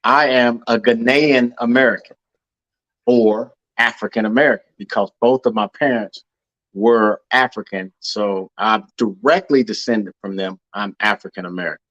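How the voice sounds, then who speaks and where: A man's voice: lowish voice, moderate pace, fairly bright tone, no echo, highs cut off. A man hosting a casual discussion over a call, earnest and often repeating words as he thinks. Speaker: podcast host.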